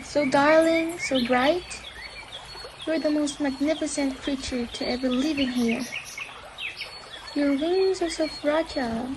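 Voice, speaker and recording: A woman acting a gentle, earnest young daughter who loves nature, in a student radio drama. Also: sits high, noisy background. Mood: admiring. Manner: earnest, theatrical, tender. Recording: background music under the voice.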